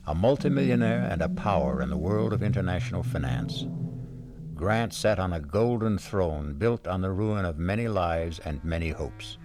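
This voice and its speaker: A man hosting a 1970s radio mystery show, introducing it in a grave, deliberate, theatrical way. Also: sits low, lively intonation, background noise. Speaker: host. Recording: archival radio broadcast with music.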